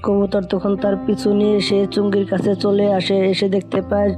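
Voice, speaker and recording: Narrator for kids' cartoon: woman, medium pitch, brisk scripted reading over music. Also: completely flat delivery. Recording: music bed behind the voice.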